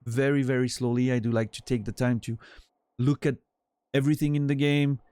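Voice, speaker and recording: A man's voice: mid-range voice, level tone, a little fast, dark tone, smooth, no room sound. Rambling Swiss French man, dry mic. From French-speaking Switzerland. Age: 40s. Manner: rambling, self-deprecating, trails off with fillers. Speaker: streamer. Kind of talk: casual chat with stream chat.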